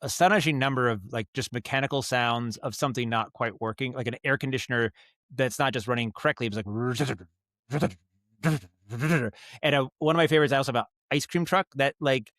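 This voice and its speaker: A man speaking as a guest in a casual interview, telling stories in an animated, halting way with asides and vocal sound imitations. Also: medium pitch, no echo, studio quality. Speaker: guest, audio drama writer and director. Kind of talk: casual podcast interview, storytelling.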